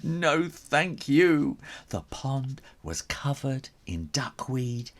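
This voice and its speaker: A man reading a children's story aloud as its author, theatrically, with dramatic pauses and whispery emphasis. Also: medium pitch, very animated, a little hiss or hum.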